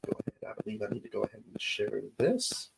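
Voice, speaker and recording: A man hosting his show's live stream, chatting casually with viewers in an easygoing, halting way, his voice stuffed up from allergies. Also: lowish voice, wildly swooping pitch, vocal fry, heavy background noise. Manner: easygoing, halting with many pauses. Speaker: show host. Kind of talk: casual livestream chat with viewers.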